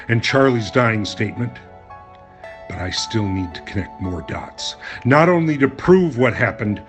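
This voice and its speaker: An earnest journalist in a radio drama, troubled and deliberate in the way he talks. His voice sits at a medium pitch, with soft music faintly behind. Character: earnest, troubled male journalist, loyal friend. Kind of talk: audio drama acting and narration.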